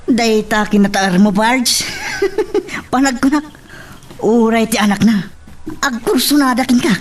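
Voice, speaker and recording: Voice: mid-range voice, measured pace, lightly acted. A performer playing a teasing, scheming buddy in an Ilocano radio drama, with slangy delivery.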